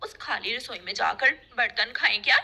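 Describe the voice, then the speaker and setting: A nagging crow wife and mother played by a woman in a cartoon, high and very bright. She is fed up and sharp with every word. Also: normal speed, breathy edge, full character voice. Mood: exasperated. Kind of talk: cartoon story voice acting.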